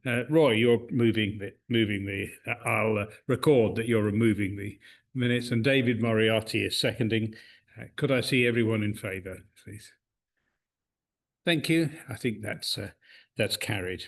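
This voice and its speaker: A man's voice: lowish voice, moderate pace. A man, chairing a club meeting in a meeting room. He talks hesitantly, with many uhs and restarts. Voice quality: loud voice.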